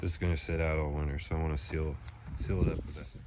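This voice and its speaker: Young man, stuffed-up cold, low pitch, casual rambling, phone mic, outdoor noise. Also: quick pace. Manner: relaxed rambling with fillers. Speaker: channel host. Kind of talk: casual how-to vlog. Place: pole barn, then outdoors on a lawn. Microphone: phone at arm's length, then phone camera behind it.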